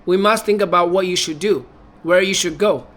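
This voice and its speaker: A practical, protective woman friend speaks with brisk firmness, read by a man. The voice stays high and light, as in a storybook read aloud at home. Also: a bit bright. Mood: firm.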